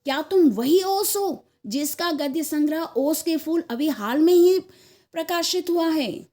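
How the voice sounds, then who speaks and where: A woman voicing a pompous, excitable male government secretary in a satirical story, exclaiming in an officious way. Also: high voice, unhurried, pitched above the performer's own voice. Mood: astonished. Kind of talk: dramatized satirical short story reading.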